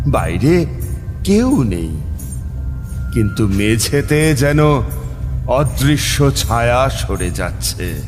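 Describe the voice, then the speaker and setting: Guy doing a grave tantric priest telling a ghost story, really slow, big reverb. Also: mid-range voice. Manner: slow, suspenseful, deliberate pauses. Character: grave male tantric priest recounting his own ghost encounter. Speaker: narrator and lead character. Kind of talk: dramatic scripted horror narration. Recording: long reverb, music bed behind. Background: clear background music.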